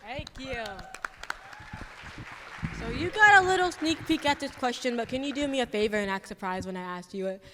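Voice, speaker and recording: A man's voice: very high-pitched, expressive, normal speed, crowd noise, noisy background. A young man hosting a school assembly interview on a handheld mic, chatty and playful with teasing asides. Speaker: student event host. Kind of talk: joking live interview on stage. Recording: long hall reverb.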